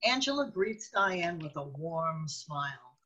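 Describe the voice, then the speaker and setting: A woman's voice: sits low, sing-song, takes it slowly, bright, forceful tone, breathy edge, dull audio. A woman reading scripted scene narration as the narrator of a radio drama.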